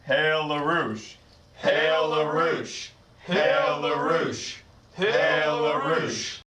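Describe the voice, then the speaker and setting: A man's voice: fairly high pitch, fairly even intonation, extremely slow, sharp tone, heavily acted. A man playing a solemn, zealous wedding officiant in a puppet comedy, speaking ceremoniously. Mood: zealous chanting. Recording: echoey room, distant microphone.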